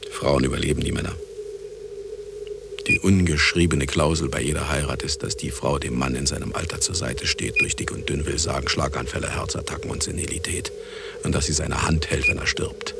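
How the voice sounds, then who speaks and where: An actor playing an aging, obsessive man in a German radio drama, gravelly, theatrical and insistent. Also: rumbling low, fairly even intonation, normal speed, music in the background. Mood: bitter, brooding. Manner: theatrical, insistent, swinging between coaxing and brooding.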